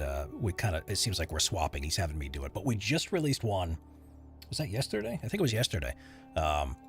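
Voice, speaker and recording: A man streaming while he edits voiceover audio, chatting loosely with his viewers and rambling between tasks. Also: sits low. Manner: rambling, chatty, answers chat while working. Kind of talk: casual live-stream chat.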